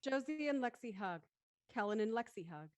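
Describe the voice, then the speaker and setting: A woman's voice: on the high side, expressive, moderate pace, no room sound, studio quality. A woman narrating a script and reading stage directions, with some casual host chat.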